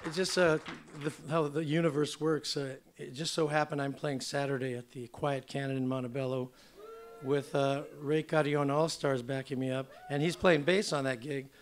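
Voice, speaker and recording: An older man, slightly hoarse, introducing his song in casual, rambling stage talk with many fillers. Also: mid-range voice, little pitch movement, normal speed, slight background noise. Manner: rambling, filler-heavy, chatty. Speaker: singer-songwriter. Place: theater stage at a museum event.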